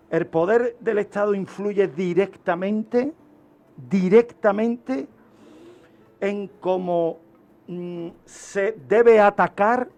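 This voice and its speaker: A man with a distinctly high voice explains criminology as a radio guest. He teaches as he talks, stressing key points emphatically and posing rhetorical questions before answering them. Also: darkish tone. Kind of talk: explaining criminology and a historical case on a radio segment. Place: radio studio.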